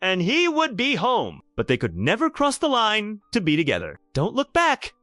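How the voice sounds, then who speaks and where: Animated higher-pitched male storyteller. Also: moderate pace, no room sound, studio quality, faint music behind. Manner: theatrical, emphatic delivery. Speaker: story narrator. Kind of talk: dramatic scripted romance storytelling.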